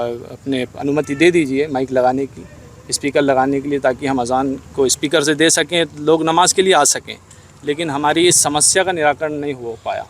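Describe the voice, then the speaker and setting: Polite man with slightly high voice. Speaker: petitioner, community representative. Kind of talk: statement to news camera.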